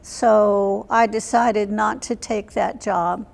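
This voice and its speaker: An older woman, in her seventies or beyond, recalling her life as an interview guest in a plain, halting way. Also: mid-range voice, fairly even intonation, vocal fry. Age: 70s or older. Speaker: interview guest, military veteran. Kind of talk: reminiscing in an unscripted interview. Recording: long hall reverb.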